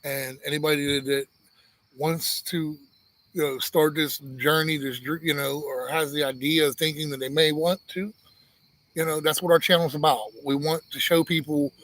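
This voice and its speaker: A man with a monotone voice co-hosting a casual live-stream chat, joking and rambling with frequent restarts. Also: fairly high pitch, moderate pace, rough recording. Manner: teasing, rambling, many restarts. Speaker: homestead channel co-host.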